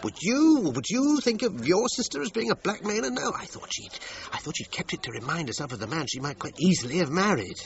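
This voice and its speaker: An actor playing an evasive, self-justifying British musician in a crime radio drama, articulate and emphatic. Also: male voice, high voice, big pitch swings, brisk, hardly any pauses. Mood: insistent. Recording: dull lo-fi sound with pub chatter behind.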